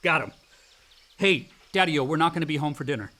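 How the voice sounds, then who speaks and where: Exuberant, higher-voiced young man. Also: lively intonation, brisk, pushed and bright. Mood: upbeat, casual. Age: young adult. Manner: casual, effusive, slangy. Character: exuberant, outdoorsy grown son, self-doubting dropout scholar. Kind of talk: naturalistic radio drama acting.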